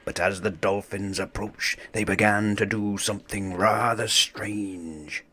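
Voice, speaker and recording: A man reading a children's story aloud in a theatrical, deliberate way. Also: lowish voice, fairly even intonation, bright, forceful tone, breathy edge. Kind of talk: dramatic children's story narration.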